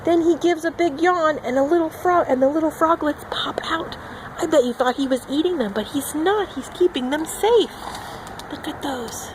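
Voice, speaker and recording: A woman's voice: high-pitched, moderate pace, background noise. A woman reading a picture book aloud to young children as a teacher, expressively, with simple asides and acted-out sound words.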